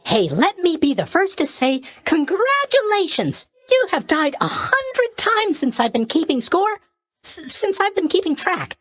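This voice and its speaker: A man's voice: very high-pitched, huge pitch swings, unhurried, fairly bright tone, no echo, studio quality, heavily acted. A man playing a cheery, oblivious young god of sleep in a video game, chatty and blithely tactless in his mock sympathy. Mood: cheerfully congratulatory. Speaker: video game character voice actor. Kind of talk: video game character voice lines.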